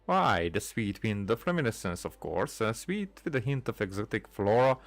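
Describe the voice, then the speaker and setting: A man's voice: fairly low pitch, very animated, moderate pace, no room sound. A man hosting a casual game playthrough, commentating and reading dialogue aloud in a hesitant, stumbling way. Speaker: gaming video host.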